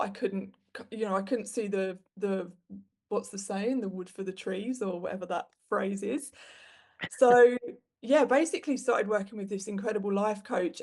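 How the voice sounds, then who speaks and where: A woman in her thirties from the UK with a British accent, a podcast guest telling her career story frankly, with plenty of fillers. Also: medium pitch. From United Kingdom. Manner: frank and animated, with frequent fillers. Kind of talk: candid conversational storytelling.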